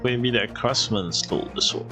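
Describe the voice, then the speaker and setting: A man's voice: mid-range voice, moderate pace. A man giving flippant, joking casual commentary as he plays through a game. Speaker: game streamer. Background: faint game music.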